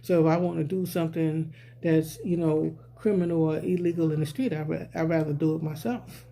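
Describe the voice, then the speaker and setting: A man's voice: on the high side, steady tone, a little fast, creaky, dark tone, slight background noise. A man vlogging a rambling, casual social commentary, often repeating "you know what I'm saying.".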